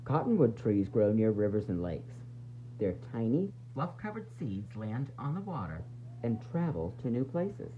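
A man's voice: mid-range voice, very animated, unhurried, narrow, tinny audio, slight background noise. A man reading a picture book aloud to young children like a teacher, with dramatic emphasis and playful asides.

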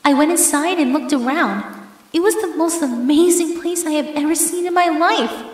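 A woman's voice: sits high, normal speed, close to an everyday voice. A woman playing a menacing, possessive yandere ex-girlfriend in an ASMR horror roleplay, with sugary endearments and theatrical commands. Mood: sweetly possessive. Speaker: voice actress in audio roleplay. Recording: echoey, long hall-like reverb.

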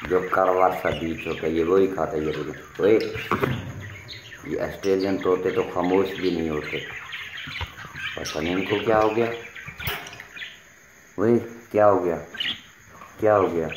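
A man chatting to his viewers while coaxing his pet birds in an outdoor yard, filmed on a handheld phone. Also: deep voice. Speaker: animal vlogger. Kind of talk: casual vlog narration to viewers. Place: outdoor yard by bird cages. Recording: roomy phone audio with loud yard noise.

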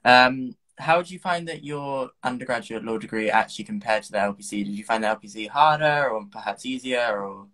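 A man's voice: medium pitch, fairly quick, hardly any pauses, sharp tone, low-quality recording. A young man hosting a video call interview, asking questions and offering short encouraging replies. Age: young adult. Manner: brief encouraging backchannels and questions. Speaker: interviewer, host. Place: small room at home, indoors. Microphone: video call webcam.

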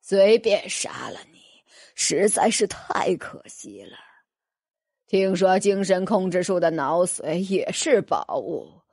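A woman voicing a sinister, gloating old man, a council elder in a fantasy audiobook, husky and breathy, with sly mock politeness. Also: fairly low pitch, animated, takes it slowly, a little mellow, no echo, studio quality, full character voice. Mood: sly menace.

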